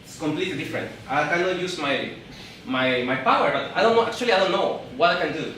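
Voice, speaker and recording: A man from Peru in his thirties, speaking English with a Peruvian Spanish accent, gives a live talk to an audience. He speaks haltingly, often repeating and restarting words. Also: a little high, animated, a bit bright, heavy reverb, far from the mic, slight background noise, low-quality recording. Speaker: event speaker. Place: event hall.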